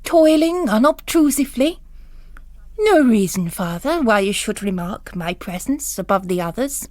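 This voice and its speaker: A woman's voice: fairly high pitch, animated, a little slow, darkish tone, no echo, clean studio sound. A woman voicing a cold, sly, pompous man with a pedantic, elaborately formal way of talking. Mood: smug, formal. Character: cold, pompous, unobtrusively sly male parishioner.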